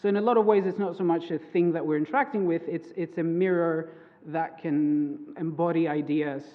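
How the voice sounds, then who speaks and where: A man's voice: a little high, steady tone, normal speed. A young man whose first language is Greek gives an academic conference talk as a PhD student, hesitant, with many fillers and restarts. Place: lecture hall. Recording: phone-like, long hall reverb.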